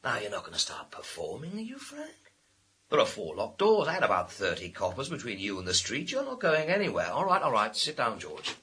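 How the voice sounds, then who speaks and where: An actor in a radio crime drama playing a sardonic, bullying police inspector with a sarcastic, theatrical manner. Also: male voice, medium pitch, huge pitch swings, fairly quick, sharp tone, a little hiss or hum. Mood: menacing. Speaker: police detective.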